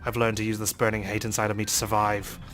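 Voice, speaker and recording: A man's voice: on the low side, completely flat delivery, fast talker, fairly bright tone, soft background music. A man reading a visual novel aloud as a let's play host, in dramatic, theatrical first-person narration.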